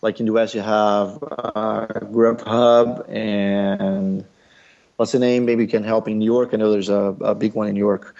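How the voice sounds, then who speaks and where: Brazilian man explaining in halting English. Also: fairly low pitch, level tone, creaky, a little mellow, lo-fi sound. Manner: thoughtful, many pauses and fillers. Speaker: startup founder. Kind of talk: business interview.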